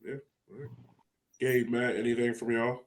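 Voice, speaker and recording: A man's voice: medium pitch, level tone, moderate pace. Casual man hosting a soccer podcast. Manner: loose, lots of likes and restarts. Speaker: podcast host.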